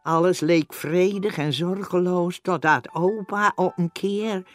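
A woman's voice: fairly low pitch, slow, faint music behind. A woman narrating a radio play as a mild, fond character looking back on events. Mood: reminiscing.

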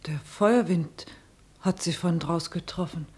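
A woman playing a wary, fearful working-class sister, narrating and speaking in measured rhymed verse in a radio drama. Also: fairly low pitch, a little mellow, slightly rough. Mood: guarded. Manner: declamatory, measured verse delivery.

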